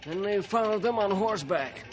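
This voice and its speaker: A man playing a plucky, slangy railroad underling in a 1950s radio adventure, talking brisk and cheeky to his boss. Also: high-pitched, lively intonation. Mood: urgent, cautioning.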